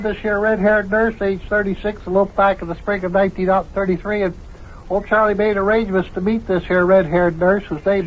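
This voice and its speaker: High-voiced folksy rambling yarn spinner. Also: male voice. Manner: drawling, rambling, folksy ungrammatical speech. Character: folksy rambling male tall-tale spinner and joker. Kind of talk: old-time radio comedy acting. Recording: dull, lo-fi old radio recording.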